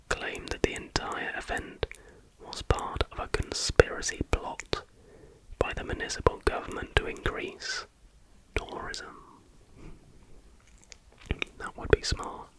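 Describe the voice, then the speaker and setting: Whispering man, ASMR narration. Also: poor audio. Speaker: ASMR video host.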